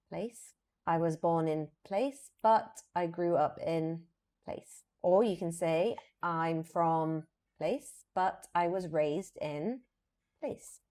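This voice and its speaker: A British woman with a fairly low voice speaks as an English teacher. She explains in a structured, instructional style, pronouncing model phrases deliberately and with emphasis so learners can follow. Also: darkish tone. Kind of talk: instructional lesson to camera, scripted explanation with example phrases.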